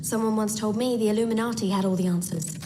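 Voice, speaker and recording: A woman's voice: mid-range voice, fairly even intonation, quick pace, background noise, natural voice. An actress playing a wry, anxious female secret-society agent in a video game, speaking in clipped phrases. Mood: wry, tense. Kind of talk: scripted video game dialogue.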